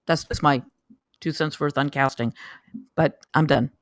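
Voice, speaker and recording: A person giving casual spoken feedback on a script, haltingly, often repeating words and phrases. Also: medium pitch, fairly even intonation, dry recording, clean studio sound. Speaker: table read participant giving script feedback.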